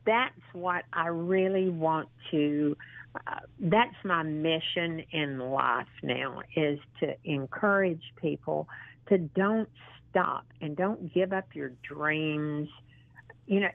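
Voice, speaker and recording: Low-voiced woman in her sixties on a phone line. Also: gravelly, no room sound. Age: 60s. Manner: halting, with frequent ums and restarts; earnest and encouraging. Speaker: interview guest, model and actress. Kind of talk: casual phone interview.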